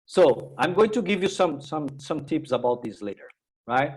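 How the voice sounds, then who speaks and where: Man, Brazilian accent, native Portuguese speaker, slightly high voice, informal online tech presenter, halting, repetitive, tag questions. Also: expressive, darkish tone, slightly rough. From Minas Gerais, Brazil. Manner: halting, repetitive, with "right?" tags. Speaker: technical presenter and workshop instructor.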